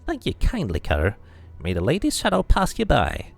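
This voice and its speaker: A man's voice: mid-range voice, wildly swooping pitch, moderate pace, gravelly, voice pushed up, full character voice. A man voicing a wheedling, grateful street beggar from a fantasy game slum.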